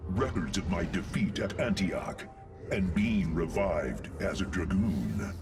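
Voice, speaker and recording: A man's voice: sits low, animated, takes it slowly, exaggerated voice. A man voicing a troubled, honourable alien warrior in a machine body for a video game, solemn and formal. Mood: troubled, resolute. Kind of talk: video game cinematic dialogue. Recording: distant-sounding voice under music.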